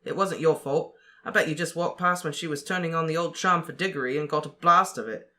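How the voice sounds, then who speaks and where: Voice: medium pitch, a little fast, sharp tone, close to an everyday voice. A reader voicing an awkward teenage boy who stammers and hesitates, in an audiobook. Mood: earnest.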